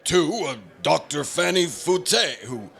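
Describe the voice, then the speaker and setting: A creaky, raspy federal agent from a radio detective play, acted by a man. He is earnest and halting, with lively swings in pitch and stumbling pauses. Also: exaggerated voice. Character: hesitant, earnest male federal bureau agent. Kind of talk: old-time radio drama acting.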